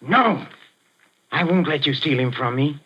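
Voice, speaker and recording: An actor playing a nervous, sly middle-aged European impresario who stammers and hesitates, breaking into nervous little laughs, in 1940s radio acting. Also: male voice, a little high, animated, a little slow, breathy edge. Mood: desperate. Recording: muffled 1940s radio broadcast.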